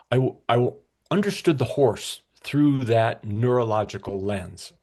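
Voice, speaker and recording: A man speaking as an expert guest in an interview, explaining in measured, halting short phrases. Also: on the low side, vocal fry, a little mellow. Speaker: guest expert, brain scientist.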